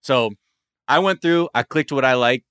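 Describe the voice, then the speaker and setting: A man in his thirties in a casual podcast chat, animated and profane, often breaking into laughs. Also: medium pitch, normal speed, smooth, no room sound, studio quality. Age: 30s.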